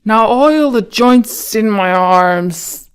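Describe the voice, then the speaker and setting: Woman voicing a slow, sad, polite tin man. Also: fairly high pitch, animated, clean tone. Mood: stiff, pleading. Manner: slow, deliberate, courteous.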